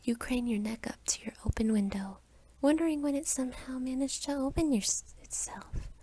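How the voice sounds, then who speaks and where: A young woman narrating a scripted second-person ASMR story in a soft whisper. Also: high voice, normal speed, slight background noise. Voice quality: whispery, breathy.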